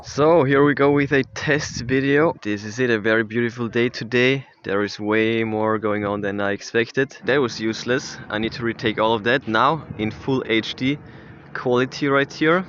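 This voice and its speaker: A man's voice: mid-range voice, moderate pace, a bit bright, lo-fi sound, a little hiss or hum. A man doing a casual, hands-on tech review in an informal, enthusiastic manner with short exclamations.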